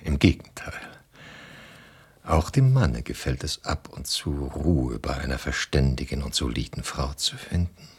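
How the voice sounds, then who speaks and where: Deep husky Odysseus, a smooth-talking seafarer. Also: male voice, a little slow, exaggerated voice. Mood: seductive flattery. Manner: flattering, placating, theatrical. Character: Odysseus, smooth-talking, evasive seafaring husband returned home. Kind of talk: German radio play acting.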